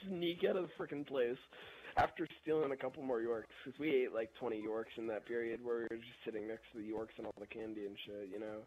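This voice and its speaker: A young man telling a casual, rambling story in an animated, slangy way while gaming. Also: medium pitch, fast talker, dull audio. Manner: animated, slangy, chuckling delivery. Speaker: gameplay video narrator.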